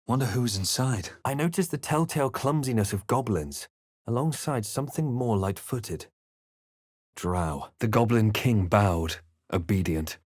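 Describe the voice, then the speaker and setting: A man playing a composed, brooding fantasy adventurer in a video game, telling events in a measured, dramatic way. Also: lowish voice, expressive, dry recording, studio quality. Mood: grave. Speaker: player character voice. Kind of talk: scripted game narration and character lines.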